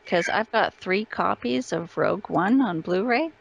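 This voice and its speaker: Gravelly-edged woman, casual and agreeable. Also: medium pitch, animated, unhurried, lo-fi sound, a little hiss or hum. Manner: agreeable, brief interjections. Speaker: interview guest, voice actress. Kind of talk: casual chat.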